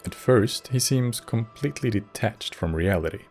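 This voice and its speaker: Male video essay narrator, low pitch, scripted but casual, faint music bed. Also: lively intonation, normal speed, darkish tone, husky.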